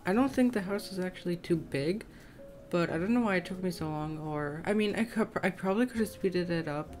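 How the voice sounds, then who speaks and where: A young woman with a low, slightly scratchy voice talks through a game build in a casual voiceover. She rambles in a tentative, self-conscious way. Soft guitar music plays faintly behind her. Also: brisk. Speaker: gaming video creator. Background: soft plucked guitar music.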